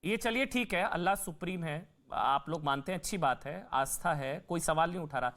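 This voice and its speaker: Male TV debate anchor, high voice, interrupts and repeats pointed questions insistently. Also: a little fast, fairly bright tone. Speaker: TV debate host. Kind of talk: heated news debate moderating.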